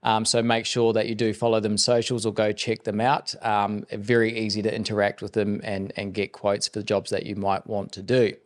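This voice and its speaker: Upbeat podcast host. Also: male voice, fairly low pitch, flat delivery, normal speed, few pauses. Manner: upbeat, encouraging, filler-heavy. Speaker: podcast host and business coach. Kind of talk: podcast interviewing.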